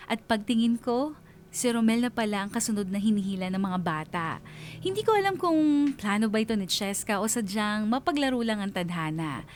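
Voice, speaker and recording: A woman's voice: mid-range voice, animated, moderate pace, a little hiss or hum, lightly acted. A woman playing a sentimental female letter sender who narrates her romance in a reflective way, with a Filipino accent. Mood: fond, reflective. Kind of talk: dramatic first-person radio storytelling.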